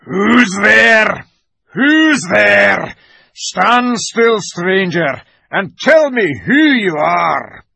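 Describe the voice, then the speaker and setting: A huge storybook wolf guards its ground in a husky growl pushed well up in pitch. It gives orders with open threat and heavy command. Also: male voice, very animated, drawn-out words, bright, forceful tone, no room sound, heavily acted. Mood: fierce challenge. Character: huge menacing wolf, chief of secret police. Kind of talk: audiobook character voice.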